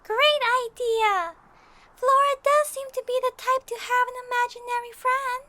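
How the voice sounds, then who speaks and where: Squeaky, bright little fairy companion. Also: female voice, steady tone, normal speed. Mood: excited. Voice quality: squeaky, childlike. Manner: speaks of self in third person, blunt, pouty. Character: tiny childlike female fairy companion, squeaky, cheeky, excitable. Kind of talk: anime game fan audio drama acting.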